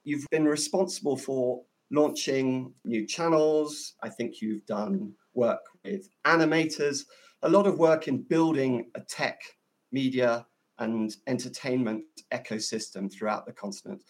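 A man's voice: medium pitch, measured pace. A man hosting a podcast interview, introducing his guest in an enthusiastic, effusive way, speaking from a hotel room. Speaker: podcast host.

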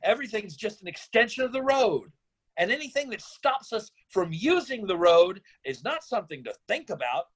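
An actor playing a middle-aged, idealistic absent father, speaking in a deliberate, brooding way in a dramatic screenplay reading. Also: high-pitched, very animated, husky, exaggerated voice. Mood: brooding, impassioned. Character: disillusioned, idealistic absent father, philosophical and bitter. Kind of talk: dramatic table-read monologue.

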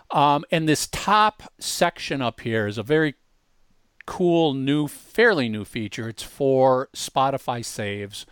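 A man presents an informal online tutorial as host. He explains each step in a casual, conversational way, with a mid-pitched voice. The sound is dry, with no room to it. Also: dry recording. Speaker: host demonstrating a music marketing tool. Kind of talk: casual screen-share tutorial.

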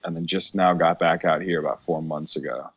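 A man's voice: low voice, fairly even intonation, a little fast, breathy edge. An American man from Chicago talking as a podcast guest in an informal, earnest and agreeable way. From Chicago, United States. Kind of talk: casual interview chat.

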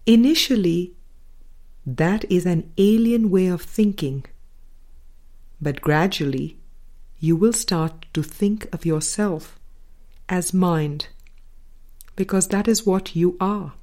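A woman reading a spiritual book aloud as scripted narration, in measured, deliberate phrases with pauses. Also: lowish voice, mellow. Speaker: audiobook reader.

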